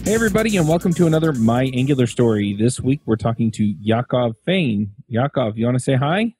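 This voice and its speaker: A man speaks as the host of a podcast interview. His delivery is casual and colloquial, with folksy turns of phrase, in an ordinary mid-pitched male voice. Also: big pitch swings, fairly quick, warm tone, faint music behind. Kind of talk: casual podcast interviewing and scripted sponsor read.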